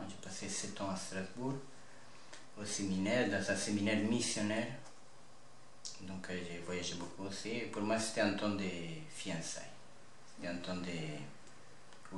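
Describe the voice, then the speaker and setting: Young male interviewee, Italian accent, low register, earnest halting testimony, slight noise behind. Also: fairly bright tone. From north-east Italy (Friuli). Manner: earnest, halting phrasing with repeated words. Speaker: interview guest, seminarian about to be ordained priest. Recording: echoey room with faint background noise.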